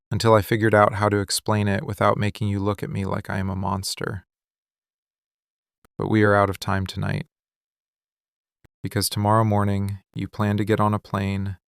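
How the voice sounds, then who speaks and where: A man acts out a dangerous, obsessive mafia boss for a boyfriend ASMR roleplay. His low voice crackles with gravel and stays in a narrow, controlled range. He speaks slowly and deliberately, commanding and possessive, with an intense air of menace. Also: no room sound, studio quality. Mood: tense, pleading. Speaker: ASMR voice actor.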